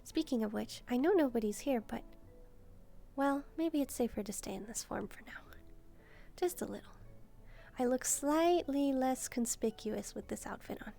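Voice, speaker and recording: Woman playing a soft, mellow, timid demon maid. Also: a little high, expressive, normal speed, creaky, faint music behind. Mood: gentle, chatty. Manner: hesitant, timid, musing aloud. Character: gentle, shy female lesser demon maid. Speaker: roleplay video creator. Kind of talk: soft-spoken first-person roleplay, relaxing.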